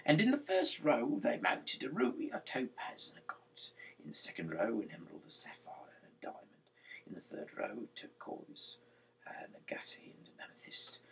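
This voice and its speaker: A man with a breathy, gravelly half-whisper reads scripture aloud informally, haltingly, dropping in chatty asides. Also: sits low, fairly bright tone, far from the mic, low-quality recording. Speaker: singer reading the Bible aloud. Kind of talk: informal Bible reading aloud.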